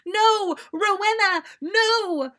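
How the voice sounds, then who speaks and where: A woman's voice: very high voice, extremely slow, sharp tone, pitched above the performer's own voice, heavily acted. A woman voicing an earnest, dramatic, easily panicked teenage boy in a story reading. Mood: distraught. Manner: overwrought, exclaiming.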